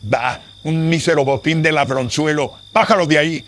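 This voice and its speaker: A man's voice: a little high, normal speed, fairly bright tone, a little hiss or hum, pitched above the performer's own voice, natural voice. A man playing a greedy, scheming notary in a horror radio drama, pompous and scornful, giving orders. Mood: scornful, irritated. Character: greedy, scheming, haughty male notary, a villain. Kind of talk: Spanish-language horror radio drama acting.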